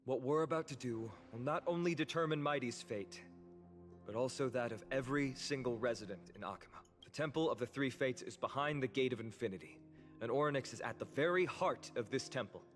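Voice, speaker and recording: An actor voicing a calm, serious young hero in a video game, measured and earnest. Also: male voice, mid-range voice, lightly acted. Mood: serious, determined. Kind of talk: scripted video game acting. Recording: reverb, game music behind.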